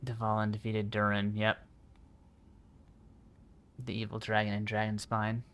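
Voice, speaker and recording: A man's voice: fairly low pitch, completely flat delivery, measured pace, a bit bright, clear, resonant tone. A man giving casual livestream commentary over gameplay, musing and thinking aloud. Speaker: game livestreamer.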